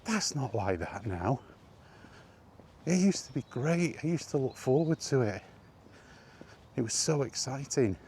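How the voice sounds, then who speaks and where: A man's voice: medium pitch, big pitch swings, measured pace, pauses often, a little mellow, a little hiss or hum. A man talking off the cuff in an exasperated gaming rant, emphatic and full of rhetorical questions. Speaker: solo video game vlogger.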